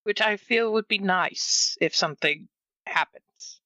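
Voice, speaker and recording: Very bright-voiced woman, dry sound. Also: medium pitch, measured pace, no echo. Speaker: player in a tabletop game. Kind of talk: casual in-character roleplay.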